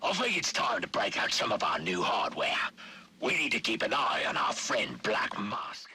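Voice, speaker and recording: An actor playing a sly, theatrical crime boss in a video game, purring with menace and dropping into breathy hushes. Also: male voice, fairly low pitch, huge pitch swings, normal speed, exaggerated voice. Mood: scheming.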